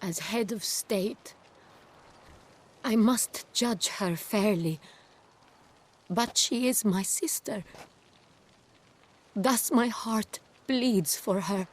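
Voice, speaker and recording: A woman's voice: medium pitch, extremely slow, pauses often. A woman playing a regal young duchess in a video game, speaking in a formal, dignified and carefully worded manner. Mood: solemn, sorrowful. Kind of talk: video game cutscene acting.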